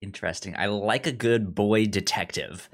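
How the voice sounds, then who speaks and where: A man co-hosting a podcast in casual chat, speaking in an informal, halting way. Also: on the low side. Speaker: podcast co-host.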